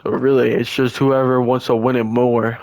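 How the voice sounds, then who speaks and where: A young man, hoarse and losing his voice, doing casual, slangy play-by-play of a video game football match. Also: medium pitch, normal speed, dry recording. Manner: excitable, slangy, stumbles and restarts. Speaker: play-by-play commentator.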